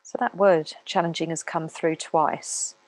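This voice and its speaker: A woman speaking as a meditation teacher, calmly guiding listeners in an unhurried way with long pauses. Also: low voice, rough recording. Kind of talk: guided meditation talk.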